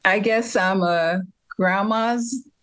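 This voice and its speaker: A woman's voice: mid-range voice, slow pace, a bit bright. Woman from Ethiopia telling her story. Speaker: interview guest. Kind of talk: personal storytelling in an interview.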